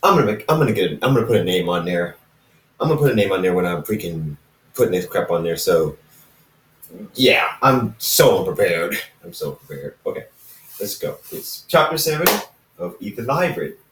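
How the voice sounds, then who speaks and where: A man reading a story aloud informally, halting and casual, with dramatic pauses and asides to listeners. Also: deep voice, big pitch swings, a bit bright. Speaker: fan fiction narrator reading own recording. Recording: roomy, echoey, distant microphone.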